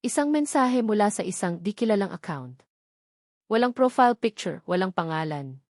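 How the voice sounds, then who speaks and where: A woman tells a scripted romantic drama as its narrator. Her voice is medium in pitch, with dramatic storytelling delivery. The recording is dry, with little room sound. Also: lively intonation, moderate pace, a little mellow, no echo.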